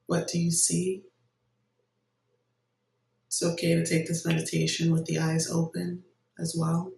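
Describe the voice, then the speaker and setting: A meditation guide giving gentle, unhurried instructions with long pauses between phrases. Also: mid-range voice, monotone, creaky, slight background noise. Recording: roomy echo, distant microphone.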